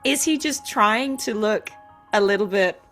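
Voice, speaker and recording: A woman's voice: mid-range voice, measured pace, a bit bright, soft background music. A woman hosting a casual interview between voice actors, chatty and playful in the way she talks.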